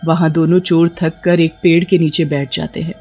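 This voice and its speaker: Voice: mid-range voice, little pitch movement, normal speed, darkish tone, dull audio, soft background music. A narrator reading a scripted story for a horror cartoon.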